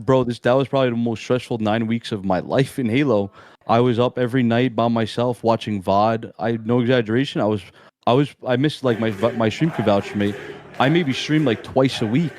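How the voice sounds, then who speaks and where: A young man in casual interview chat, talking in a strong monotone, laid-back and slangy, rambling with restarts. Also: on the low side, no room sound, clean studio sound. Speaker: amateur esports player, guest.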